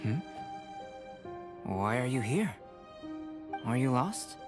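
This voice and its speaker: A man playing a composed, cerebral young alchemist in a video game, speaking in a measured, formal, detached way. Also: medium pitch, sing-song, over music.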